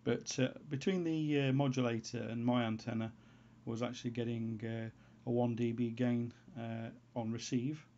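A man giving an unscripted how-to voice-over as a hobbyist presenter, in a halting way, as if thinking aloud. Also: medium pitch, flat delivery, dark tone, a little hiss or hum. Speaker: hobbyist presenter of a homebrew antenna tutorial. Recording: dull, lo-fi sound.

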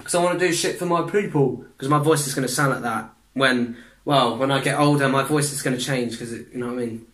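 A young man, chatting in a rambling way for a reaction vlog in his bedroom, restarting sentences, clearing his throat and swearing often. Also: medium pitch, fast, a bit bright, low-quality recording. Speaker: vlogger reacting to videos. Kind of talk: casual reaction vlog chat. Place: small bedroom indoors.

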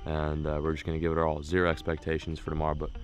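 Young man, deep voice, hyped fishing vlog talk, boat on a lake, action camera, background music. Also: rapid-fire. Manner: upbeat, excitable, hypes the action. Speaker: fishing vlogger. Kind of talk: casual vlog narration. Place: small bass boat on a lake, outdoors.

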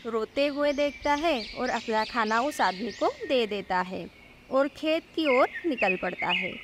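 High-pitched, unhurried woman storyteller. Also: noisy background. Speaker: narrator. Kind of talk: scripted children's moral story narration. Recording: background music under the voice.

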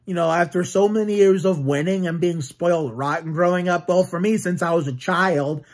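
Husky young man ranting about his team. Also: high-pitched, normal speed. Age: 20s. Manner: rambling, profane, frequent restarts and fillers. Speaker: sports fan vlogger. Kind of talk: casual sports vlog rant. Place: small room at home with bookshelves, indoors.

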